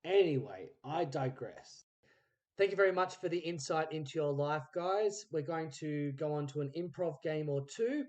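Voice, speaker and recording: A man's voice: a little high, a little mellow. A man hosting a podcast in casual chat, telling anecdotes animatedly and acting out the dialogue in them. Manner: acts out quoted dialogue while telling stories. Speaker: podcast host and interviewer. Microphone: recorded remotely on a phone.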